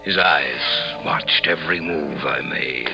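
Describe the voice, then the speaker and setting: A man's voice: rumbling low, slow pace, creaky, exaggerated voice. Cold gloating murderer telling his story, theatrical old radio horror, big music behind him. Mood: grim recounting. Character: cold, vengeful male murderer recounting his crime. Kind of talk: 1950s radio horror acting with narration. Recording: old radio broadcast recording, loud music.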